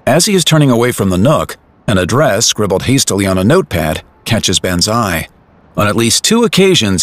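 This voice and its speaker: Low-voiced man narrating dramatically, widely varied delivery. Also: fairly bright tone. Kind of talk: dramatic scripted narration, present tense.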